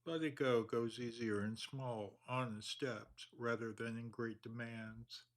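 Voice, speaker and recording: Man, meditation guide, slow measured delivery, calm, long pauses, mid-pitched voice.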